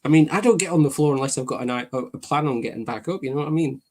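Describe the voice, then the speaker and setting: A man's voice: on the high side, racing, darkish tone. A middle-aged man who is a guest on a podcast, chatting casually and asking lots of questions. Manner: inquisitive, asks lots of questions. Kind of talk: casual chat.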